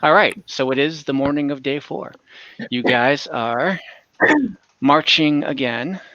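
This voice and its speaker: A man narrating an online tabletop game as game master in a casual, halting way, often searching for words. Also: mid-range voice, bright, forceful tone, dry recording, dull audio.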